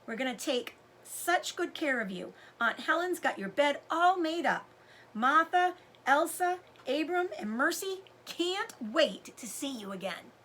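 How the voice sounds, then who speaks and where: A woman voicing a warm, welcoming frontier uncle from a storybook. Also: high-pitched, big pitch swings, a little slow, slight background noise, voice pushed up, heavily acted. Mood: tender, excited.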